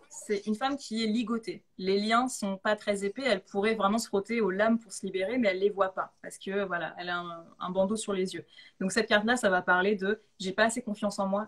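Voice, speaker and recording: A woman in a casual live chat, explaining tarot readings in an informal, enthusiastic way. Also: medium pitch. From of Italian descent. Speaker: guest tarot reader and author.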